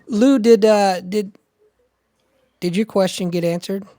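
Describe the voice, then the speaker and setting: A man's voice: high-pitched, fairly even intonation, measured pace, warm tone, no room sound, clean studio sound. A man hosting a podcast in casual chat, hesitant and full of fillers and repeated words. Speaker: podcast host.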